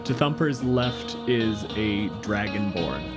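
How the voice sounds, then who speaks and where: A man's voice: fairly low pitch, lively intonation, takes it slowly. A man narrating a fantasy tabletop game as improvised dramatic storytelling, in clipped, suspenseful phrases. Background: music with guitar.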